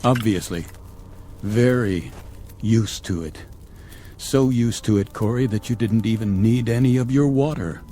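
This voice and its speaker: A man's voice: on the low side, unhurried, dark tone, a little hiss or hum, lightly acted. An actor playing a stern captain in a vintage TV drama, commanding and sarcastic, fiercely protective of his crew. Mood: suspicious, sarcastic. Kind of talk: mid-century television drama acting. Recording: music under dialogue.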